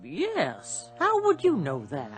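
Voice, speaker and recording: Nosy old-fashioned landlady in a radio drama, kinda low voice, lively, formal and impatient. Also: takes it slowly, full character voice. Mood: brisk, inquisitive. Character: brisk, prim, inquisitive old-fashioned boarding house landlady.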